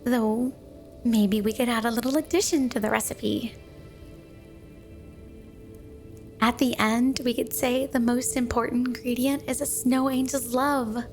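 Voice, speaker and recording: A woman's voice: fairly high pitch, fairly even intonation, normal speed, soft background music, close to an everyday voice. A woman playing an obsessively devoted snow woman wife in a flirty ASMR roleplay, gushing and cooing with a sweet but possessive manner. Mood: adoring, flirty. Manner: gushing, cooing, doting, dreamy.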